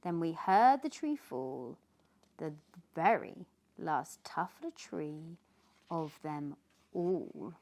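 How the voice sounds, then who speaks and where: A woman with a British accent narrating a children's story dramatically, as an expressive read-aloud storyteller. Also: deep voice, extremely slow, vocal fry. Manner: expressive, with hushed dramatic passages.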